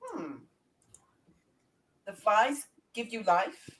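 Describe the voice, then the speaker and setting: A woman's voice: mid-range voice, big pitch swings, drawn-out words, a bit bright, far from the mic, full character voice. A woman playing an earnest, probing male asylum doctor in a gothic horror play. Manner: formal, questioning. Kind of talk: amateur script read-through of a gothic horror play.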